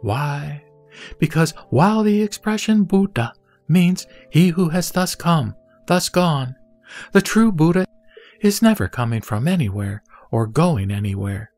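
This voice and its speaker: A man playing the Buddha as a serene teacher, reciting scripture in a measured, solemn audio drama style. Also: fairly high pitch, animated, darkish tone. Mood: serene, instructive. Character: the Buddha, serene male teacher addressing his disciple. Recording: music behind the voice.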